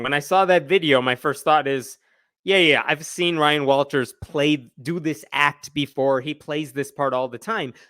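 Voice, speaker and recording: A man with a slightly high voice delivers casual, opinionated commentary as a livestream host. He is conversational and emphatic with a sardonic edge, and the sound is fairly dry and close. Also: a bit bright, no echo.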